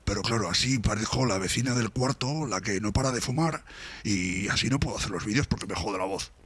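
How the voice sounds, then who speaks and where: A gruff masked movie vigilante, as put on by a man, speaks low in a forced throaty growl. The voice is husky and smoky, with a European Spanish accent. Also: brisk, a bit bright. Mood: gruff, strained. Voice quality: raspy, like a heavy smoker.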